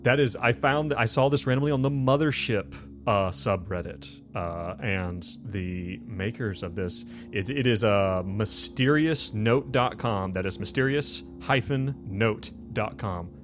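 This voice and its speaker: A man's voice: fairly low pitch, unhurried, soft background music. A man hosting a tabletop game as game master, explaining and narrating in a casual conversational style. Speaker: game master and show host.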